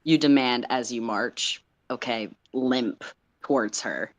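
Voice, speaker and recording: A woman's voice: rumbling low, slow. A woman co-reading on a livestream, narrating a story informally. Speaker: livestream co-reader.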